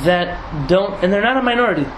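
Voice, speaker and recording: Lively high-voiced rabbi in an echoey room. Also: male voice, a little fast. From San Diego, United States. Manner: lively, laughing, many restarts and asides. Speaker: rabbi teaching a class. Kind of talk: casual talk to a group. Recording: echoey room, audible noise.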